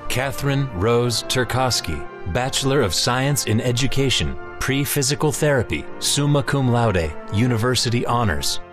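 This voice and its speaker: Guy reading grad names and degrees, steady formal announcer, quiet music underneath. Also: mid-range voice, moderate pace, hardly any pauses. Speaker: name reader.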